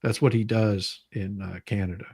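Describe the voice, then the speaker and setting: A man chats casually as the host of a live stream. His voice is husky and a little rough. He talks in a halting way, often doubling back over words as he goes. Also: on the low side, steady tone, a little mellow.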